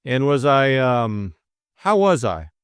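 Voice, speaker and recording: A man playing a flippant, drowsy young gentleman of leisure in an audiobook, with a breezy, bemused and slightly hesitant manner. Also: medium pitch, sing-song, slow pace, clean tone, dry recording, clean studio sound, voice pushed up. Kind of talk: audiobook character acting.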